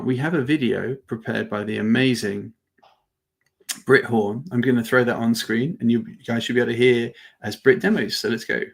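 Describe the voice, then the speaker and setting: A man with a medium-pitched voice hosts a live software demo. He talks informally and explains step by step. His phrasing is halting, often doubling back on words. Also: gravelly. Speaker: company presenter and webinar host.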